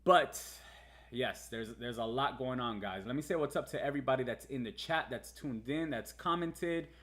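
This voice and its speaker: A man's voice: medium pitch, moderate pace, fairly bright tone. A man from the United States whose first language was Spanish, casually talking viewers through crypto markets on a livestream, with many fillers and restarts. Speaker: livestream host. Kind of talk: casual live market commentary.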